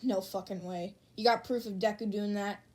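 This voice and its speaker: A woman voicing a hot-tempered, foul-mouthed teenage boy hero student in a gruff, combative manner for a fan fiction reading. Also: mid-range voice, fairly even intonation, normal speed, a little mellow, close to an everyday voice. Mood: irritated, grumbling.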